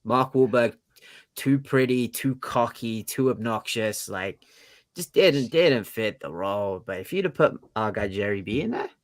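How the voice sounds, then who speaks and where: A man's voice: mid-range voice, animated, unhurried. A man co-hosting a casual movie chat, talking in a blunt, slangy way. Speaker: podcast co-host.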